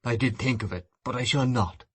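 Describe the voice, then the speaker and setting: A man's voice: mid-range voice, fairly quick, husky, close to an everyday voice. A man reading an audiobook voices an enraged, grief-stricken husband in a hard, accusing tone. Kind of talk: audiobook reading of a classic novel.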